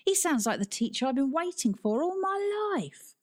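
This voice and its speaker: Woman as a cool, offhand schoolboy, pitched up. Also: very animated, normal speed. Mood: dismissive. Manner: offhand, casual.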